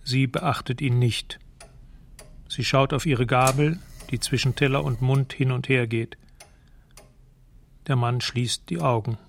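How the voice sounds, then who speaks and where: An actor playing a detached narrator in a radio drama, describing scenes in a sober, measured way. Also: male voice, medium pitch, fairly even intonation, creaky.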